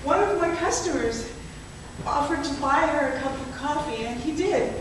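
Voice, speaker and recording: A woman voicing the fearful wife of a gas station owner, speaking in a measured, reflective way. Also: high-pitched, expressive. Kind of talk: spoken-word poetry performance. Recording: echoey hall from far off, noisy.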